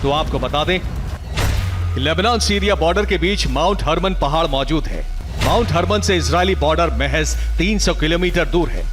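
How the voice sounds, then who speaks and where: Male news narrator, higher register, emphatic dramatic scripted read with prominent music behind.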